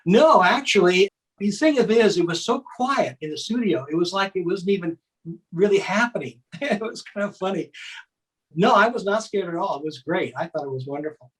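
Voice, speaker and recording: An older man with a slightly high voice. He talks casually as a guest, telling personal memories in a loose, conversational way. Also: lively intonation, a little fast, husky, rough recording. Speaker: guest, former child contestant. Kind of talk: casual reminiscing.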